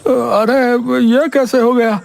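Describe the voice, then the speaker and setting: A man playing a greedy, excitable village man in a cartoon story, exclamatory and melodramatic. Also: very high-pitched, slow pace, gravelly, slight background noise, heavily acted. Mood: dismayed. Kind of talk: dramatic Hindi cartoon story voice acting.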